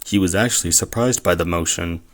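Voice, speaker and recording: A man acting as a calm storyteller, reading scripted third-person narration for an audio drama. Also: low voice, a little fast, close to an everyday voice.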